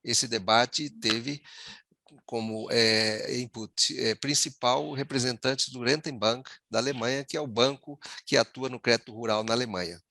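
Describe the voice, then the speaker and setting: A man, moderating a formal webinar over a video call in a measured way, often pausing on fillers. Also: medium pitch, bright, forceful tone, dry recording, studio quality. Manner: measured, with frequent hesitation fillers.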